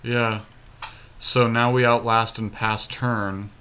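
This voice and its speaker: Guy podcast cohost talking thru card game plays, really bright crisp edge, dry teasing. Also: medium pitch, little pitch movement, slow, a little hiss or hum. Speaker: podcast co-host. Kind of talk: casual card game play commentary.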